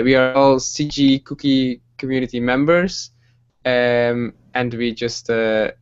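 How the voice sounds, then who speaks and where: A man in his twenties from Belgium hosting a casual group livestream, relaxed and halting with many fillers and soft laughs. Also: mid-range voice, clean tone, lo-fi sound. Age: 20s. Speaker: livestream host.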